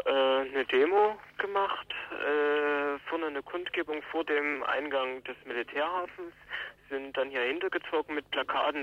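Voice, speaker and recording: A man giving a spontaneous interview account as an activist spokesman, with halting, hesitant delivery. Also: medium pitch, fairly bright tone. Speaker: press coordinator for protest campaign, interviewee. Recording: muffled telephone interview line.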